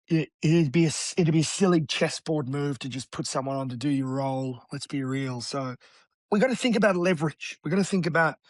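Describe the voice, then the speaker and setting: Raspy aussie guy, kinda high voice, talks blunt and punchy, dry close sound. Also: dry recording. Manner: energetic, blunt, matey ("man"). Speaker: guest real estate agent. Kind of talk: casual interview talk.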